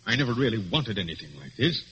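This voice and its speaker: An actor playing a stuffy, gullible middle-aged police inspector with old-fashioned phrasing, in old-time radio drama style. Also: male voice, mid-range voice, very animated, quick pace, sharp tone. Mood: flustered, genial. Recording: dull, noisy vintage radio recording.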